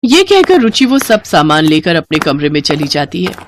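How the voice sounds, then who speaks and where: A woman narrating a scripted moral story in an expressive, dramatic storytelling manner. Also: low-pitched, moderate pace, slight background noise.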